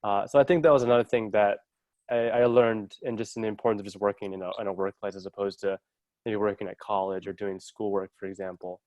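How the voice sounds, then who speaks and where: Deep-voiced young man, reflective and hedging. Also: steady tone, quick pace, darkish tone, dry recording. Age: 20s. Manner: thoughtful, hedging with "I guess" and "sort of". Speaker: service corps volunteer. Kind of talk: reflective answers.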